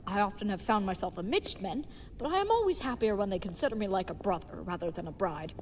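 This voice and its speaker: A woman's voice: medium pitch, animated, fast, slight background noise, natural voice. Woman playing an earnest dim gallant knight, reverberant. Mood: earnest, fond. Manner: formal, stilted, uncontracted, chivalrous speech. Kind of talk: audio drama acting.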